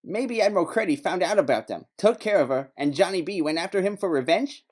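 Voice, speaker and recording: A man's voice: high voice, lively intonation, fairly quick. An actor playing a male detective's partner in a noir audio drama, casual, sardonic and wisecracking. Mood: wry, matter-of-fact.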